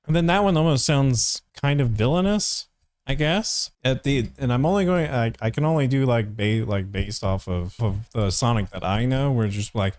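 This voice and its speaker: A man's voice: mid-range voice, a little mellow, dry recording. Dry-sounding man giving casual critique. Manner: halting, thinking aloud. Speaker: reaction video host, voice actor.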